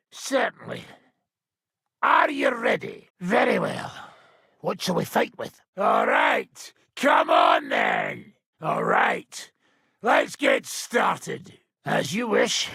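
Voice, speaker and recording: Raspy, brash medieval brawler. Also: male voice, a little high, wildly swooping pitch, slow pace, pushed and bright, dry recording, shouting, clean studio sound, full character voice. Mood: gruff, taunting. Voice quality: raspy, gravelly. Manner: gruff, taunting, boisterous. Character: brash hard-drinking male medieval fighter and combat trainer. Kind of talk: video game voice lines.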